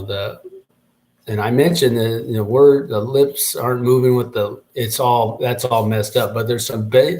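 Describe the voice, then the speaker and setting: A man's voice: on the low side, darkish tone, low-quality recording. A man hosting his own show, giving a casual solo update as he thinks aloud, rambling with restarts. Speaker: podcast host and rap artist.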